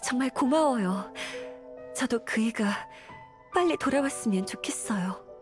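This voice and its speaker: A woman playing a soft-spoken, worried wife in a video game. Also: on the high side, expressive, measured pace, music in the background. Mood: worried, grateful.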